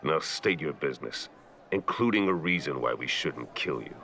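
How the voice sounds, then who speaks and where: A man playing a hard-bitten mercenary gunman in a video game, dry, sardonic and threatening, with a gravelly voice. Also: a bass voice, expressive, moderate pace, fairly bright tone, a little hiss or hum. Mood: dry menace.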